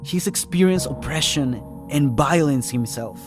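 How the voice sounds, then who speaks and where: A man's voice: fairly high pitch, animated, a little slow. A man reading a scripted first-person story as its narrator. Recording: studio narration over music. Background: music.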